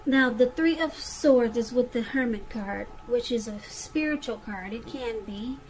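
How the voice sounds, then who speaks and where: A woman's voice: on the high side, unhurried, a little mellow, soft background music, poor audio. A woman giving a conversational tarot reading straight to the listener, repeating phrases for emphasis. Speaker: tarot reader.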